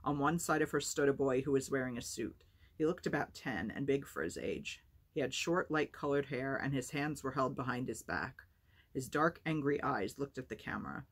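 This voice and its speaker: A woman with a low, husky voice hosting a storytime and narrating a book. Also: moderate pace. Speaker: storytime reader and host.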